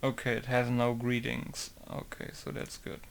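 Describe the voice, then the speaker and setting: Gravelly modder muttering to himself. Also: male voice, medium pitch, completely flat delivery, moderate pace, slight background noise. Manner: rambling, talks to himself, mutters quiet mic tests. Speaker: game modder. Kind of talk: casual talk while working.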